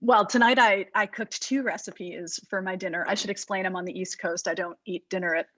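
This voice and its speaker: A woman interviewing in a conversational style, articulate and measured as she builds out her thoughts. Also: medium pitch, fairly even intonation, a bit bright, no room sound. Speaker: interviewer, moderator.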